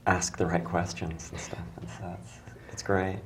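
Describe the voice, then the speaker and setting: A woman hosting a talk show, hoarse from allergies, chatting casually and often restarting her sentences. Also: extremely low pitch, flat delivery, moderate pace, slight background noise. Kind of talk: casual studio chat.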